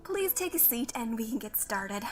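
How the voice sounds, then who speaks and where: A woman acts out a young female school counselor in a cartoon comic dub. Her voice is on the high side, with music clearly behind it. She is polite, earnest and a little nervous, choosing her words with soft hesitation. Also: big pitch swings, moderate pace. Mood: polite welcome. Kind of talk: animated comic dub voice acting.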